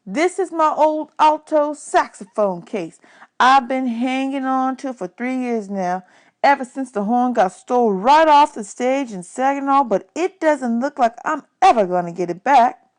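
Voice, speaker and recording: A woman's voice: sits high, lively intonation, a little slow, voice pushed up. A woman voicing an easygoing, kindly male jazz musician who mentors a boy in a storybook. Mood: generous, warm.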